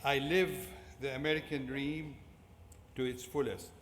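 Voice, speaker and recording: In a large, reverberant fieldhouse with noise behind him, a middle-aged man delivers a motivational commencement address. He has a Syrian Arabic accent and a medium-pitched voice. He speaks deliberately, breaking his prepared text into short phrases. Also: little pitch movement, a bit bright. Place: large indoor fieldhouse at a ceremony. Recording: echoey fieldhouse PA with clear background noise.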